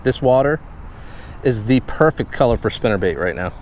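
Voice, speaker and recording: A man's voice: medium pitch, normal speed, slight background noise. A young man chatting casually while fishing outdoors and talking to the fish he catches. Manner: excited and teasing, talks to the fish. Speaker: fishing vlogger. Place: outdoors at a pond and on a small boat on a lake.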